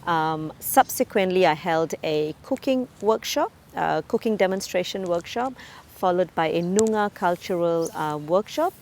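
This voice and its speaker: A woman in her late thirties from Malaysia, speaking as a community cultural ambassador in a profile interview, measured and earnest with frequent ums and uhs. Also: fairly low pitch, expressive, darkish tone, a little hiss or hum.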